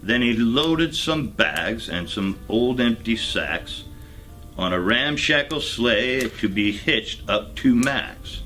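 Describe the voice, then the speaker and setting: A man narrating a rhyming children's storybook as a storyteller, in a deliberate, measured way. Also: mid-range voice, expressive, pushed and bright, soft background music.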